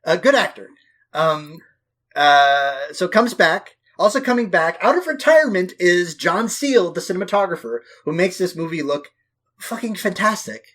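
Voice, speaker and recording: A man with a fairly high voice co-hosts a film podcast. He talks casually but emphatically, swearing freely and leaning into hyperbole. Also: lively intonation, normal speed, a bit bright. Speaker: podcast co-host.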